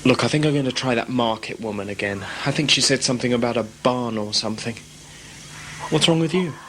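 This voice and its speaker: An actor playing an exasperated, sceptical traveller, chatty and sarcastic, in a sci-fi audio drama. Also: male voice, medium pitch, brisk, fairly bright tone, slight background noise, lightly acted. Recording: music behind the voice.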